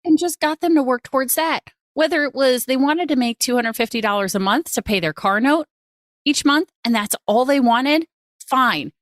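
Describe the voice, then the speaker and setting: A woman's voice: high-pitched, lively intonation, normal speed, dry recording, studio quality. A woman hosting a podcast and coaching, chatting in a casual, emphatic and gushing way, often repeating phrases for effect. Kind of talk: casual, animated interview chat.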